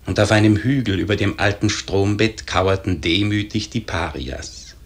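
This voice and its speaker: A man playing a grave storyteller, narrating a literary radio play in measured, formal diction. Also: on the low side, gravelly. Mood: solemn, measured. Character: grave male storyteller narrating a historic tragedy.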